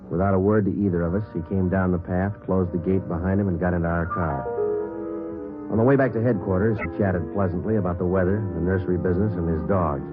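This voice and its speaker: A male actor plays a businesslike American police detective in an old radio drama. His voice is low and deadpan, rattling along briskly in clipped procedural sentences. The sound is narrow vintage radio with music. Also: lightly acted. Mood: matter-of-fact. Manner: clipped, deadpan, matter-of-fact. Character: male police sergeant, terse, unflappable, businesslike narrator. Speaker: actor playing lead police detective and narrator. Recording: band-limited old radio recording with music.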